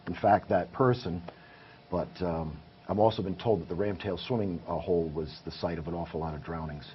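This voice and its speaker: A man's voice: sits low, fairly quick. A man who is a paranormal investigator, talking as a guest in a casual interview and telling his findings in earnest detail. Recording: muffled, with faint hiss.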